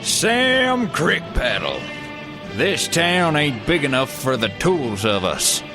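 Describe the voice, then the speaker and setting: Man acting boastful cowboy rival, higher male voice, lively swooping delivery, folksy drawl, blustering, comic radio play. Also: slow pace, over music. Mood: challenging. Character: boastful, folksy old-west cowboy tool owner in a rivalry. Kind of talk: improvised comedy radio skit.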